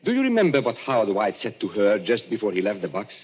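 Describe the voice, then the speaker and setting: An actor playing a shrewd gentleman detective, unmasking a killer in 1940s radio-drama style, measured and commanding. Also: fairly low pitch, huge pitch swings, husky, full character voice. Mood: calm, triumphant. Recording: muffled 1940s radio broadcast.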